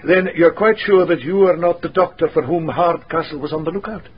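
An actor playing a bookish gentleman lawyer in a radio drama, formal, inquisitive and deliberate. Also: on the high side, natural voice. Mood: probing, courteous. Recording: dull radio recording, faint noise.

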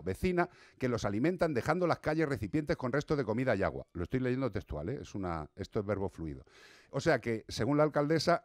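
A middle-aged man with a Castilian Spanish accent speaks as a radio host, close to a studio microphone. His voice sits at a medium pitch and his delivery is blunt, sarcastic and indignant, like an opinion editorial. Music plays behind him. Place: small radio studio, indoors. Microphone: close studio microphone on arm.

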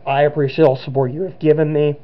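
A man's voice: on the high side, little pitch movement, fast. A young man giving nervous, rambling gameplay commentary with many restarts, his voice cracking. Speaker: gamer. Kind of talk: let's play commentary. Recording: dull lo-fi sound with game music.

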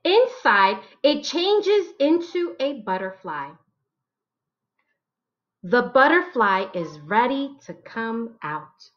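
High-pitched woman reading slowly to children. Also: big pitch swings, fairly bright tone, dull audio. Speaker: teacher reading picture books aloud. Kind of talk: slow, expressive read-aloud for children.

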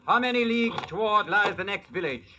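An actor playing an arrogant, cruel medieval lord, loud and imperious in archaic radio-drama speech. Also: male voice, high-pitched, lively intonation, fairly quick, muffled recording. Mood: haughty, menacing. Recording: noisy vintage radio drama recording.

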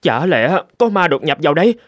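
A man voicing a respectful young Buddhist monk in an animated folk tale, with a deferential way of speaking. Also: on the high side, fairly quick. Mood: respectful, puzzled.

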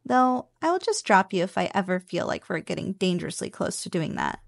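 A woman playing a playful, possessive but affectionate slime girl roommate in an ASMR roleplay, speaking softly and breathily with a teasing manner. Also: on the low side, very animated, gravelly, no room sound, clean studio sound, exaggerated voice. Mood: caring, relaxed. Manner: playful, teasing, slow and savoring.

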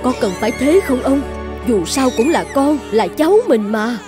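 An actress playing a soft-hearted middle-aged mother and doting grandmother, exclaiming and pleading in fairy-tale dubbing. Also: on the high side, expressive, moderate pace, music in the background, close to an everyday voice. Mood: pleading, doubtful.

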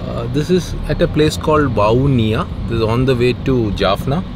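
A man, narrating his road trip as a travel vlogger in a relaxed, halting way with many fillers. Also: mid-range voice, background noise. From India. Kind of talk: casual travel vlog narration.

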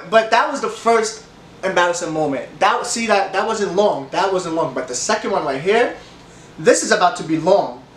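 A young man with a stuffy nose, talking in a fairly high voice, rambles through a casual story time with lots of laughs and restarts. The room has a noticeable echo, and the camera mic sits a little way off with a faint hum behind him. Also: normal speed. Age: young adult. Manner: rambling, animated, laughs often, hand gestures. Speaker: vlogger. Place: room with leather couch, indoors. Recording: echoey room, camera a bit far.

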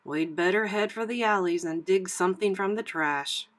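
Kindly storybook father dog played by a woman, gentle, fatherly, quietly firm. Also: medium pitch, normal speed, natural voice. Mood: excited.

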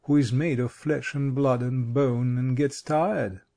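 A man voicing a thoughtful, mellow-toned scarecrow king. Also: medium pitch, steady tone, measured pace, creaky. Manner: reflective, reassuring, courteous.

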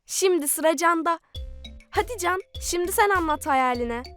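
A woman voicing an eager, imaginative little girl who explains things clearly in a children's cartoon, with music behind. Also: very high voice, expressive, normal speed, a bit bright. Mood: eager, dreamy.

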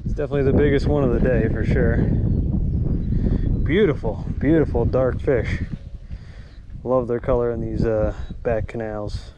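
A young man giving casual running commentary while fishing by a canal, talking to a chest-mounted camera, relaxed with excited bursts. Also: mid-range voice, normal speed, long pauses, highs cut off, heavy background noise. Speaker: fishing vlogger. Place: outdoors by a canal spillway. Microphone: chest-mounted action camera.

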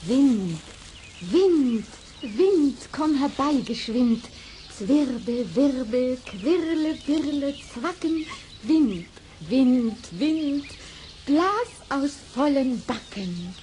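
A woman playing a good-hearted little witch in a children's fairy-tale radio play, speaking deliberately. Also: sits high, lively intonation, warm tone, slight background noise, full character voice. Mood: commanding, incantatory. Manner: deliberate, chanting spells.

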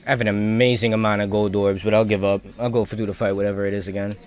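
Casting: male game streamer, lowish pitch, informal and slangy, talking through play live. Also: flat delivery, racing. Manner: informal slangy talk, thinking aloud. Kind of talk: casual live gameplay commentary.

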